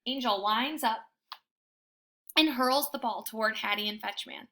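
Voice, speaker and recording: A woman reading a children's book aloud in an animated, emphatic storytelling style. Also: on the high side, a little slow. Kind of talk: expressive children's book narration.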